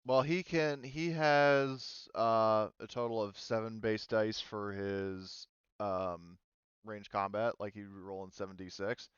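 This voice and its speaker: A man running a tabletop roleplaying game as game master in casual talk, thinking aloud with many pauses. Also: on the low side, steady tone, smooth, no echo, lo-fi sound.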